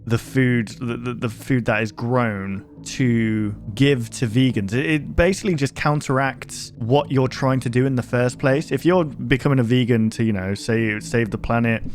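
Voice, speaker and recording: A man's voice: mid-range voice, moderate pace, hardly any pauses, a little hiss or hum. A man giving casual, rambling reaction commentary in a jokey, incredulous manner. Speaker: YouTuber reacting to horror videos.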